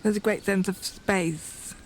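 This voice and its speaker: A woman reflecting aloud in a deliberate, unscripted way, searching carefully for her words. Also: medium pitch, fairly even intonation. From northern England. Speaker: poet, documentary subject. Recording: outdoor field recording with environmental noise. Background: birdsong and outdoor ambience.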